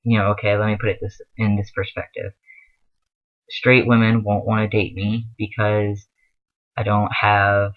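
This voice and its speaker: A man's voice: fairly low pitch, phone-quality sound. A young man giving earnest, rambling advice in a casual vlog, with many fillers. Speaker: vlogger.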